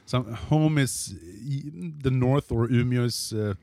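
A man's voice: mid-range voice, no echo, clean studio sound. A man in his forties with a Swedish accent, chatting as a guest and often restarting his sentences. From northern Sweden. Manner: relaxed, halting with frequent restarts. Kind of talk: casual chat.

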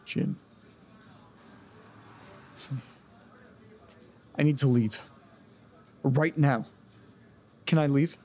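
An actor playing a distressed, desperate man in an audio drama, speaking earnestly and haltingly, often breaking off mid-sentence. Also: mid-range voice, dark tone. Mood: anxious, pleading. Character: distressed man recounting a haunted-house ordeal, desperate to get home. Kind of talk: audio drama acting, naturalistic.

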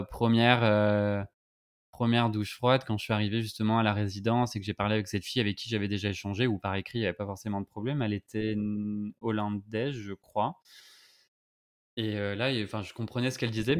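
A man's voice: fairly low pitch, level tone, fast, smooth. A young man from France chatting casually about his student exchange, hesitating often with fillers and restarts. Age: young adult. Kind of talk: casual podcast conversation.

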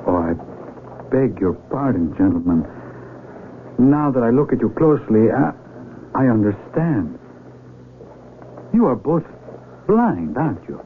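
Through a narrow, faintly hissy radio recording comes a young mountaineer. He is earnest and amazed, hesitating and apologizing. His pitch moves about with lively, theatrical expression. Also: a little slow, pauses often. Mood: apologetic, realizing. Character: earnest, astonished young male mountaineer, shaken avalanche survivor. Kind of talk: 1970s radio drama acting.

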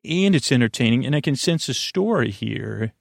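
A man hosting a bedtime podcast, talking in a meandering, halting way with stammers and tangents, meant to soothe. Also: mid-range voice, lively intonation, vocal fry, no room sound, clean studio sound. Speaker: podcast host.

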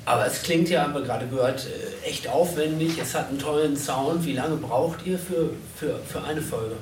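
A man's voice: on the high side, moderate pace. A man speaking as a panel moderator, putting spontaneous interview questions, recorded from a distance in a noisy, echoey room. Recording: very echoey room, distant mic, clear noise.

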